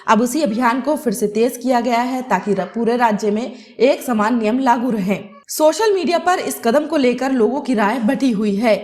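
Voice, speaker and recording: Female news narrator, fairly high voice, scripted report delivery. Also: darkish tone.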